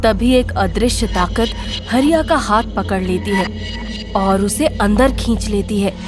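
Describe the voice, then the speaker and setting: A woman's voice: mid-range voice, slow, music in the background. A woman narrator reading a scripted story in a dramatic storytelling style.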